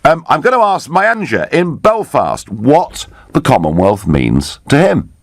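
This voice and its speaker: A man's voice: mid-range voice, wildly swooping pitch, unhurried, dry recording, clean studio sound. A middle-aged man hosting a live radio phone-in, opinionated and emphatic. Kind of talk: live radio talk show.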